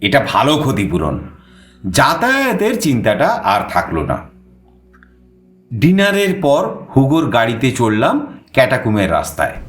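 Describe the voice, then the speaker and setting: An actor playing an adventurous, eager explorer who narrates his own suspense audio story in an expressive manner. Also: mid-range voice, moderate pace, pauses often. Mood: pleased, curious. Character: male first-person narrator, adventurous, eager explorer visiting Paris. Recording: echoing voice over background music.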